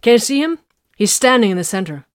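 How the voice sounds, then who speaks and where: A woman voicing a blunt, sarcastic female space warrior with a flat delivery. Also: a little high, drawn-out words, clear, resonant tone, no room sound, clean studio sound, voice pushed up, heavily acted.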